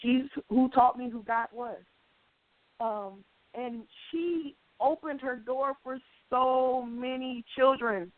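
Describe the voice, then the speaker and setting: A woman's voice: high voice, steady tone, slow, dry recording. A woman life coach speaking as a radio guest, earnest and hesitant, with many ums. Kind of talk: casual interview chat. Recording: dry, tinny phone-line sound.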